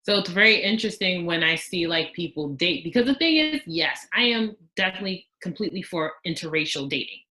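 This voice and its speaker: A young woman of Haitian background talking casually as a podcast guest, outspoken and animated, acting out the voices she quotes. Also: fairly low pitch, fairly quick, hardly any pauses, rough recording.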